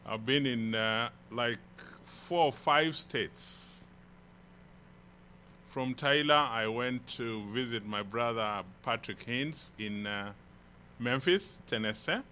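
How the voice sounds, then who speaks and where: Kenyan male church elder, unhurried storyteller, bright clear tone. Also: mid-range voice, slight background noise. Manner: slow, rambling storytelling with restarts. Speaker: visiting elder preacher. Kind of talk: informal sermon introduction. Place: church hall.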